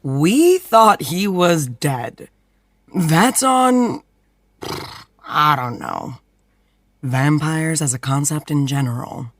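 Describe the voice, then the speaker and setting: A young woman acting in an audio drama as a protective twin sister, speaking earnestly and softly. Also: low-pitched, lively intonation, drawn-out words, long pauses, no room sound, clean studio sound, exaggerated voice. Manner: earnest, soft-spoken, emotional. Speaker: twin sister of a vampire fighter.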